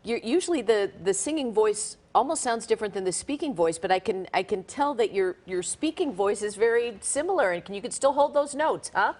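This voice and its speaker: A woman's voice: medium pitch, expressive, fairly quick, hardly any pauses, a little mellow. A woman co-hosting a live radio interview, friendly and chatty, laughing easily. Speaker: radio co-host.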